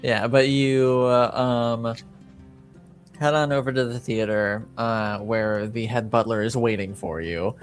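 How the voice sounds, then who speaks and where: A man improvising narration as game master of a tabletop roleplaying game, halting as he searches for words. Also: mid-range voice, soft background music.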